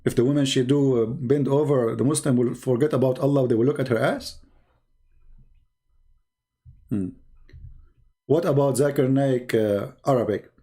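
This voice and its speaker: A man at an ordinary mid pitch hosts a call-in debate stream. He talks in a sarcastic, teasing way, full of hesitations and fillers. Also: mellow, slightly rough. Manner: halting speech with frequent fillers. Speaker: host of a call-in debate show.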